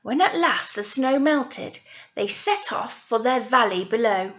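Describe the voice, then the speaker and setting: A woman teacher reading a picture story aloud to young children, drawing out key words and pausing to ask them questions. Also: fairly high pitch, animated, fairly bright tone, dull audio, a little hiss or hum. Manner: exaggerated, drawn-out words with questions to the listeners. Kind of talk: animated read-aloud storytelling with asides to children.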